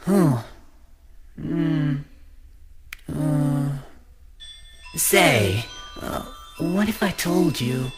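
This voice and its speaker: Woman acting cunning small flower creature, cartoon dub, flattering, coaxing, cruel, unhurried delivery, prominent background music. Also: deep voice, heavily acted. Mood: sly coaxing. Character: small talking flower, sweetly flattering, secretly manipulative and cruel. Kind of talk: comic dub character acting.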